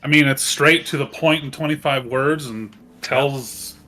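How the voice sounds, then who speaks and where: A man chatting casually as a player in a tabletop game, halting and rephrasing as he goes. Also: mid-range voice, sharp tone, faint music behind.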